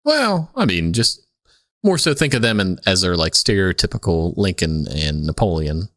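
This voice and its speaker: Guy podcast cohost, lowish voice, dry close sound, rambly joking chat. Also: big pitch swings, moderate pace, a bit bright, dry recording. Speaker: podcast co-host. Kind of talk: casual comedic chat.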